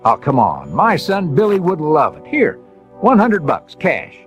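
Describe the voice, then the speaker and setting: An exuberant middle-aged dad and gadget inventor in a storybook dramatization. He has a folksy salesman's eagerness, a fairly high voice and hugely swooping intonation. There is light music underneath. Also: wildly swooping pitch, measured pace. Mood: eager, bargaining.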